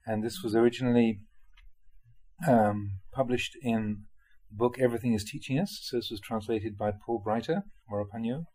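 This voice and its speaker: A man reading a spiritual talk aloud in a measured, explanatory way, often posing rhetorical questions. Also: mid-range voice, dark tone, rough recording. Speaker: monk reading dharma talks aloud.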